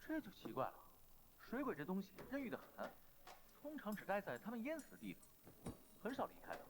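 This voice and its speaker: Lively, swooping young cultivator. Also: male voice, sits high, a little fast, background noise, full character voice. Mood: puzzled. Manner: playful, teasing, lively. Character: cheeky, clever, playful young male cultivator. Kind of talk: animated fantasy drama acting.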